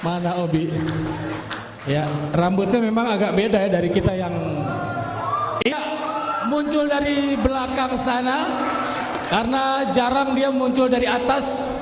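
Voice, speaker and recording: A man emceeing a comedy contest, his voice pitched very high and playful as he needles the next contestant. The sound bounces around a large hall with long reverb, with a lively crowd clearly audible behind him. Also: unhurried, long pauses, noisy background. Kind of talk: teasing stage emcee banter. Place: large indoor hall on stage.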